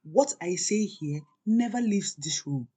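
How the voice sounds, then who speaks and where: A woman's voice: on the low side, animated, a little slow, mellow, slightly rough. A woman voicing a long-suffering, defiant wife in a folktale, solemn and conspiratorial. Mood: grave, secretive. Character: Bolanle, grave, defiant, long-suffering secretive Nigerian wife.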